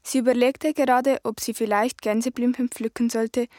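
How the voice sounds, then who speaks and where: A woman's voice: on the high side, steady tone, moderate pace, darkish tone, no room sound, clean studio sound. A woman reading a children's story as scripted narration.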